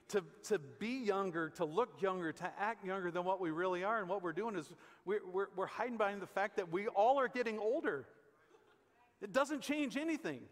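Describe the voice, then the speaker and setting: An American man in his fifties, a pastor preaching conversationally and emphatically from the stage of a large church auditorium. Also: high-pitched. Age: 50s. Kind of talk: conversational sermon. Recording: echoey hall with long reverb.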